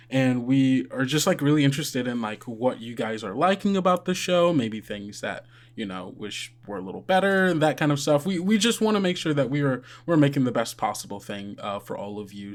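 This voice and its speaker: A man with a mid-pitched voice talks casually as a podcast host and game master. He rambles, corrects himself and throws in joking asides. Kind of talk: casual, chatty podcast housekeeping and game narration.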